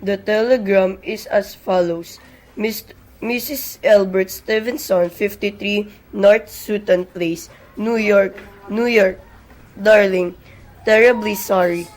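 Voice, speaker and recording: A young woman playing a businesslike telegraph clerk in an amateur radio play, reading formally and deliberately. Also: mid-range voice, steady tone, darkish tone, natural voice, rough recording.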